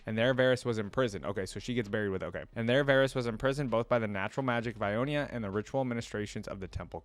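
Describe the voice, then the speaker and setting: A man hosting a reaction video, reading aloud and pausing to comment and recap casually. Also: fairly low pitch, no room sound. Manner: pauses to react and recap in plain words.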